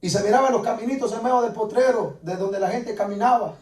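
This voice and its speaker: A man's voice: high voice, fast, a little mellow. A man preaching a sermon as dramatic personal testimony, repeating his phrases with insistent emphasis. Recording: echoey room, distant microphone.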